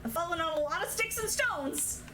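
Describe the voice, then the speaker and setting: A woman's voice: very high voice, expressive, brisk, background noise. A young woman streamer casually chatting and telling stories while gaming, laughing often. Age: young adult. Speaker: streamer host.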